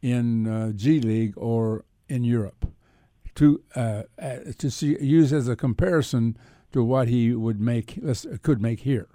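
Male sports radio co-host: relaxed dark tone, dry sound, halting but probing questioner. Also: medium pitch, no echo.

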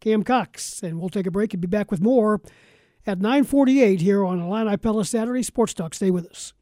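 A man's voice: high-pitched, normal speed, warm tone, dry recording. An American man hosting a live sports talk show on radio in a conversational style. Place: radio studio.

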